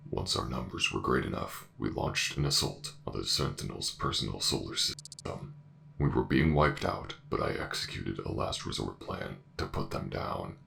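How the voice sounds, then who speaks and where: A man playing a formal, calculating robotic AI in a science fiction audio drama, speaking in measured, unemotional statements. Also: rumbling low, creaky, fairly bright tone, a little hiss or hum, heavily acted. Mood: detached, formal. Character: calculating robotic AI director of a former resistance.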